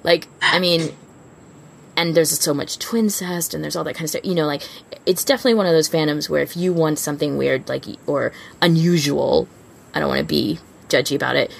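Female podcast co-host, casual and rambling, deep register with strong creak. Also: brisk, slight background noise. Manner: informal, rambling, self-interrupting. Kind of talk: casual fan podcast chat.

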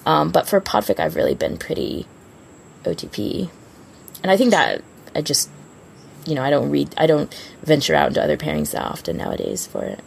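A woman's voice: low voice, huge pitch swings, a little fast, gravelly, pauses often, slight background noise. A woman co-hosting a casual fan podcast, talking in an effusive, rambling way. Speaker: podcast co-host.

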